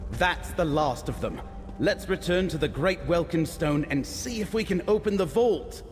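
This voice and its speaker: An actor playing an eager, friendly and curious adventurer companion in a video game. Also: male voice, fairly high pitch, expressive, moderate pace, natural voice. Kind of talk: scripted video game dialogue. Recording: long reverb, loud game music behind. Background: game music.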